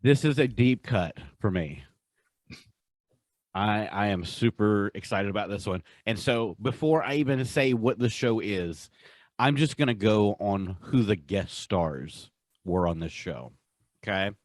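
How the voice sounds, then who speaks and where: A man's voice: lowish voice, expressive, unhurried, dry recording. A man co-hosting a podcast, chatting casually and enthusiastically through a countdown, repeating himself for emphasis. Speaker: podcast co-host. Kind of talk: casual pop-culture countdown chat.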